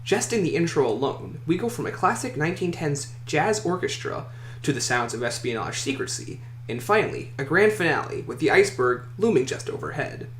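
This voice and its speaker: Echoey man's voice with lively, swinging pitch. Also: a little fast. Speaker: list video host. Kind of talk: scripted countdown commentary. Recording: echoey room, faint noise.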